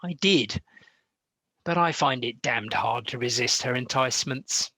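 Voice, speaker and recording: A man's voice: medium pitch, unhurried, sharp tone, breathy edge, no room sound. A British man acting an exasperated, serious Victorian composer in a radio play, speaking earnestly and formally. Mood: apologetic, weary. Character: weary, exasperated male Victorian composer, serious and self-pitying.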